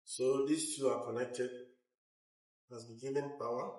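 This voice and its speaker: A man presenting a hands-on technical tutorial, explaining step by step in a halting way. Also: mid-range voice, flat delivery, darkish tone. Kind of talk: hands-on instructional walkthrough. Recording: roomy echo, uneven distance from mic.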